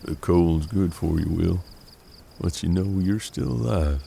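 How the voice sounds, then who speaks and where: An actor playing a seasoned, gruff frontier detective in a western audio drama, measured and world-weary, with a gravelly voice. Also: male voice, deep voice, mellow, slight background noise, full character voice. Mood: calm, instructive.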